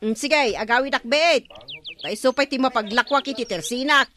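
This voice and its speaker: An actress playing a bossy, scolding village councillor in an Ilocano radio comedy, curt and commanding, with an Ilocano accent. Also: female voice, high voice, normal speed, bright, forceful tone. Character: bossy, scolding female village councillor ordering workers around.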